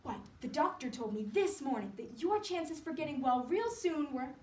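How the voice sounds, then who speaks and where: Woman playing an anxious, high-pitched young woman. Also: very animated, moderate pace, a little mellow. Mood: anxious, coaxing. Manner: emphatic, coaxing, flustered. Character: anxious, fussing, motherly young woman, devoted friend. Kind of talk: solo dramatic storytelling performance. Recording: echoey room, distant mic, background noise.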